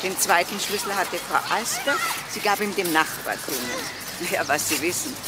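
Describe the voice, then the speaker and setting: A woman's voice: on the low side, lively intonation, moderate pace, lightly acted. An actress playing a genteel, self-assured older lady who speaks formally and with courteous insistence in a crime drama. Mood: insistent. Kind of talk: old German TV crime drama acting. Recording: very noisy old soundtrack, traffic ambience.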